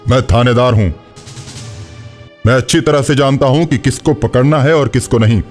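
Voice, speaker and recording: A man's voice: mid-range voice, moderate pace, a little mellow, faint music behind, natural voice. A man playing an authoritative, menacing, suspicious police inspector in a cartoon horror story. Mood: accusing, threatening. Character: stern, intimidating male village police inspector, threatening and suspicious. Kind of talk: Hindi animated horror story acting.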